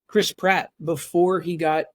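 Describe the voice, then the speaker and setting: A man in his twenties, a guest on a podcast, with a high voice, talking in a halting way and repeating his words. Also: flat delivery, dry recording, clean studio sound. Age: 20s. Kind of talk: casual podcast chat.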